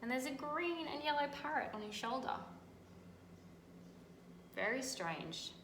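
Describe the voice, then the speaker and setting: An Australian woman teacher reading a picture book aloud and gently pointing out details in the pictures. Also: sits high, sing-song, unhurried. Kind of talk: storybook read-aloud with commentary on pictures. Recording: echoey room, faint background noise.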